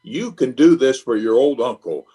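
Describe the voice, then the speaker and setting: A man's voice: mid-range voice, expressive, moderate pace, dark tone, slightly rough. A man playing a blustering, self-important middle-aged uncle and small-town official in an old-time radio comedy, with a pompous manner. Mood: bossy.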